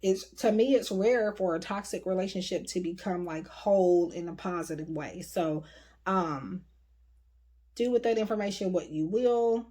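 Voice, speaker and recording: A woman giving a casual, rambling tarot reading to her viewers, her voice going a bit hoarse. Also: fairly low pitch, normal speed, dark tone. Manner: chatty, rambling, frequent fillers. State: voice getting hoarse. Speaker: tarot reader.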